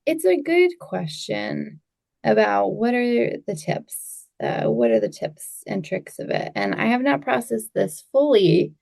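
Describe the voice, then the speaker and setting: A woman's voice: fairly high pitch, lively intonation, unhurried, vocal fry, darkish tone, no room sound, studio quality. A woman coach speaking as a podcast guest, reflective and thinking aloud in a loose, casual way.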